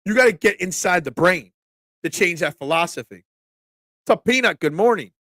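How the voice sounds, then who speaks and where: A man, congested from a cold, hosting a casual sports talk stream, excitable and opinionated, often restarting his sentences. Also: sits high, moderate pace, dry recording, clean studio sound. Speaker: sports talk show host. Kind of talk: casual live-stream sports talk, reading chat comments.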